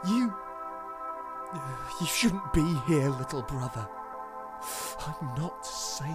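A man playing a self-loathing young prince in a melodramatic audio drama, halting and dramatic in delivery. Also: fairly high pitch, lively intonation. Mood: bitter self-loathing. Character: anguished young prince who believes himself a dangerous monster. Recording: voice under very loud music. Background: loud music.

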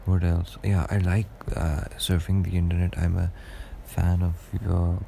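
Deep, gravelly-voiced young man. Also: little pitch movement, a little slow, dark tone, slight background noise. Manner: rambling and hesitant, many fillers and restarts. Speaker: podcast host. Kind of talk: casual solo podcast monologue.

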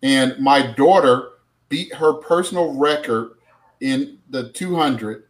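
A man's voice: mid-range voice, takes it slowly, poor audio. A middle-aged man co-hosting a casual live-stream chat, upbeat and prone to repeating words. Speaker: co-host.

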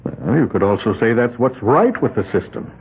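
An actor playing a calm, principled defense lawyer in a 1970s radio drama, speaking in a measured, polite way. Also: male voice, medium pitch, a little hiss or hum, close to an everyday voice. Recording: tinny lo-fi old radio broadcast.

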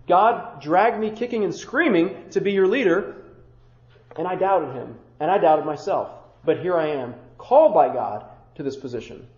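A man with a high-pitched voice preaches a sermon as a pastor. He stacks short phrases into rhythmic lists and drops into casual, conversational asides. The recording is dull and muffled, with a roomy echo around him. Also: animated, moderate pace, darkish tone.

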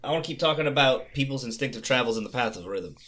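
A man's voice: medium pitch, lively intonation, quick pace, fairly bright tone. A man co-hosting a casual music podcast, talking loosely and with enthusiasm. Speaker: podcast co-host.

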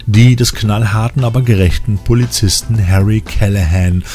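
A man's voice: lowish voice, fairly even intonation, normal speed. A man reading scripted narration as a podcast host. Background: background music.

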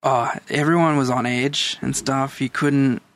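Gravelly-voiced man in casual chat. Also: medium pitch, fairly even intonation, unhurried, a bit bright. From El Salvador. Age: 30s.

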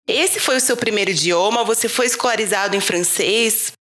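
A woman's voice: mid-range voice, fairly quick, fairly bright tone. A woman hosting an interview podcast, listening attentively, offering short acknowledgements and asking guiding questions. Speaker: podcast host.

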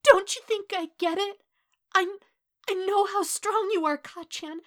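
A woman's voice: very high voice, fairly even intonation, measured pace, pitched above the performer's own voice. A woman voicing a timid, anguished teenage boy in a dramatic story reading, stammering and pleading through tears. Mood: desperate misery. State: crying. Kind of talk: dramatic fan fiction reading.

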